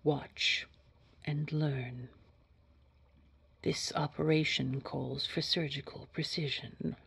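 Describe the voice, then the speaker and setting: A woman performs a menacing female boss for an audio roleplay. Her voice is deep and very husky, nearly all breath, and she takes her time. She chooses formal, precise words with cold condescension. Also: steady tone, takes it slowly, exaggerated voice. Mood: stern, instructive. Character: scary, condescending female boss at a secretive organization. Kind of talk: scripted audio roleplay drama.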